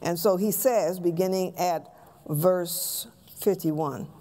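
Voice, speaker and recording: An American woman in her sixties teaching scripture from a pulpit in a halting, conversational way with rhetorical questions. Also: fairly low pitch, dark tone. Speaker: Bible class teacher, preacher. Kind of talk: teaching sermon, explaining scripture. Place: church sanctuary stage, at a pulpit.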